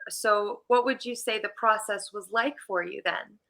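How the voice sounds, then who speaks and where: A woman's voice: medium pitch, normal speed, pushed and bright. A woman hosting a film festival interview, giving a polished, scripted welcome and asking questions. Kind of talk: hosted interview.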